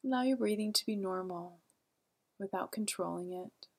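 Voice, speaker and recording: A woman leading a guided meditation in a calm, gentle, soothing way, with a soft, breathy voice and long pauses. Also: mid-range voice.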